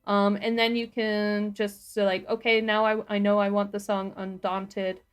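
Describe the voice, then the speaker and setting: A woman co-hosting a livestream, giving a casual step-by-step software walkthrough. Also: mid-range voice, flat delivery, unhurried, clear, resonant tone. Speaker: livestream co-host and tutorial presenter; sound designer.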